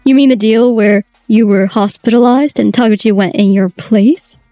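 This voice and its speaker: An actress playing a calm, authoritative company chairwoman in a manga dub. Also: fairly high pitch, moderate pace, no room sound, faint music behind, lightly acted. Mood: stern. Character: stern, composed female company chairwoman.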